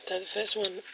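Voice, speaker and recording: A young woman with a croaky voice casually showing nail polish at her desk at home, rambling with lots of ums. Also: mid-range voice. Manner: chatty, rambling with many ums. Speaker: beauty vlogger. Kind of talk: casual product demo. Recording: home recording with clear background noise.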